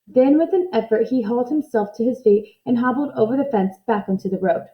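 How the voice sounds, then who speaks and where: A woman reading a children's story aloud in an expressive narrating style. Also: on the high side, brisk, lo-fi sound. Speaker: storybook reader.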